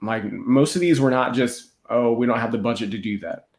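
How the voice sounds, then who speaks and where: A man's voice: mid-range voice, a little fast, a little mellow. A man co-hosting a podcast, giving casual, opinionated film talk with incredulous rhetorical questions. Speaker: podcast co-host. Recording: echoey room.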